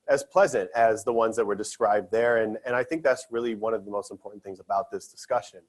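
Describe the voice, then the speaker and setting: Man, panel moderator, earnest, frequent fillers, conference room, medium pitch. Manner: earnest, many fillers and restarts.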